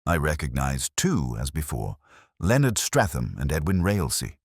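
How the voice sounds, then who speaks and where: A man narrating a first-person detective mystery in a measured, literary, brooding manner. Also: rumbling low, big pitch swings, husky, no echo, studio quality.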